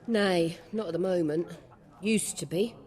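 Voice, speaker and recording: An actress playing a plain-spoken, hospitable but weary village innkeeper in a fantasy video game, folksy and matter-of-fact. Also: female voice, lowish voice, a little slow, darkish tone, long reverb tail, close to an everyday voice.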